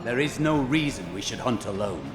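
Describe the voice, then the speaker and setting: An actor playing a zealous, stalwart warrior of a holy order in a video game, speaking formally and solemnly. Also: male voice, medium pitch, lively intonation, normal speed, lightly acted. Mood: proud, zealous. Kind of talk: dramatic video game acting. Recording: echoey, loud game music behind.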